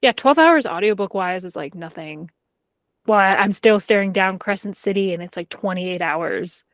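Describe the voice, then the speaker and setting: A woman's voice: medium pitch, normal speed, no room sound, clean studio sound. A woman co-hosting a podcast in casual, informal chat, her sentences peppered with "like". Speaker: podcast co-host. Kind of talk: casual chat about books and TV.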